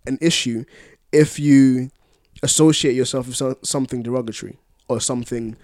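A man chatting casually on a podcast, explaining his point in a deliberate way. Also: mid-range voice, fairly even intonation, a little mellow, dry recording, clean studio sound. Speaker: podcast panellist. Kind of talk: casual discussion among friends.

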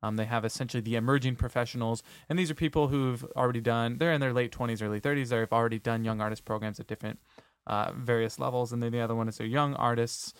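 A man's voice: mid-range voice, fairly even intonation, quick pace, clean tone, no room sound. A young man, an opera singer co-hosting, chatting casually and laughing as he acts out stories. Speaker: podcast co-host, opera singer. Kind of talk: casual chat.

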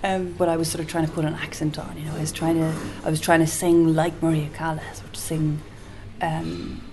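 A woman with a low, soft-spoken voice chats casually as a guest singer-songwriter. She circles back on herself with restarts and little fillers. A clear hiss runs under the recording. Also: level tone, brisk. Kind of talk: casual interview chat. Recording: noticeable background hiss.

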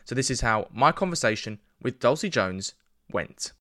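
A man's voice: mid-range voice, lively intonation, normal speed, bright, forceful tone. A man hosting a podcast, reading his intro and then interviewing a guest in a friendly, informal way. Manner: friendly, informal, calls guest "pal" and "mate". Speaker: podcast host.

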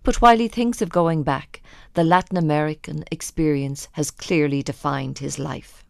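A woman presenter from Ireland reading scripted radio narration in a measured way. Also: low voice, vocal fry, a little mellow, no room sound, clean studio sound.

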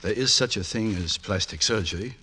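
An actor playing an earnest military doctor, formal and insistent, in an old radio drama. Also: male voice, on the low side, fairly quick, bright, forceful tone, slightly rough. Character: earnest German military doctor reasoning with a patient. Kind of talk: 1940s-1950s radio drama acting. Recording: old radio recording with faint noise.

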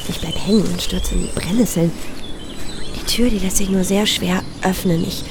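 An actress playing an unsettled, hesitant young-sounding photographer in a German audio drama. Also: female voice, on the low side, steady tone, normal speed, gravelly, noisy background. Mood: recounting. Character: unsettled woman photographer recounting eerie events.

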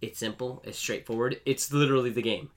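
A man's voice: mid-range voice, fairly even intonation, fairly quick. A man co-hosting a podcast in casual chat, hedging as he goes with plenty of "like" and "I mean". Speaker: podcast co-host.

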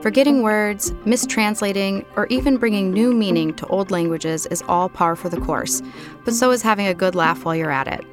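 A woman reading a scripted podcast intro in an even, read-aloud way. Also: mid-range voice, fairly quick. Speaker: intro narrator. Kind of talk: scripted narration. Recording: voice over loud music.

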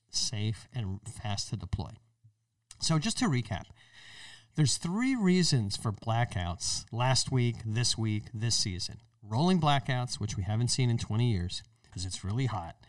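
A man's voice: medium pitch, no echo, recorded from a distance. An American man hosting a show, giving explanatory commentary in a colloquial, emphatic way, repeating phrases for stress. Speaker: podcast host and solar business owner.

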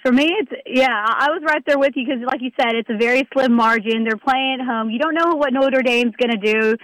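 Fast-talking woman giving betting picks. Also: sits high, fairly bright tone, dry recording, poor audio. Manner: rambling, quick, repeats herself. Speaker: sports betting panelist. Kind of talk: casual sports talk.

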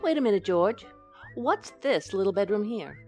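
A woman playing a teasing, quick-witted housewife in an old-time radio sitcom, with wry comic timing. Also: mid-range voice, big pitch swings, soft background music, lightly acted. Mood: coy, teasing. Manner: wry, sharp comic retorts.

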